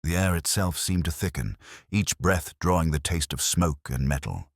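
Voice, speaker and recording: A man's voice: very deep voice, lively intonation, moderate pace, husky, dry recording, studio quality. A man giving a scripted mystery narration in a grave, dramatic, suspenseful manner. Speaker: story narrator.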